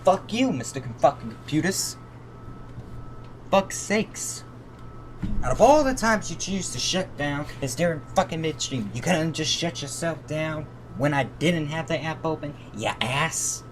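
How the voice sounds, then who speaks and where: A man's voice: on the high side, expressive, a little slow, slight background noise, poor audio. A man live streaming a game, switching between blunt, sweary chat and reading story text aloud.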